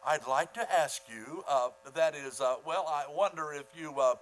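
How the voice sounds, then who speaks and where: A fussy school principal in an old-time radio comedy, played by a man. He is pompous and falsely gracious, talking in formal, halting phrases in a somewhat high voice. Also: fairly bright tone, a little hiss or hum, lightly acted, rough recording. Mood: flustered, halting. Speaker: actor in a community radio play.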